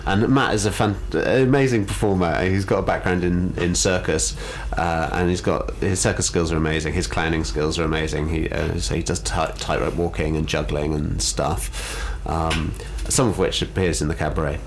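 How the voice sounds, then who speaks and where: A man's voice: deep voice, fairly even intonation, moderate pace, hardly any pauses. A man speaking as a theatre company co-founder and radio guest, chatting casually and enthusiastically with hesitant, wandering phrasing. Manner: enthusiastic, hesitant phrasing with many hedged asides. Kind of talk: casual radio interview chat. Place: radio studio. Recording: clear background noise and ambience.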